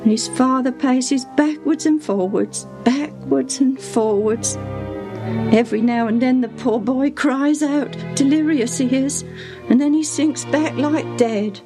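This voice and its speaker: Storybook role: grieving old nursemaid, high and fretful, sobbing through homely phrases, read by a woman. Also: unhurried, music in the background. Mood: tearful. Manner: sobbing, breathless, rustic turns of phrase. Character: devoted older female nursemaid, tearful and fretful. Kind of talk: storybook reading.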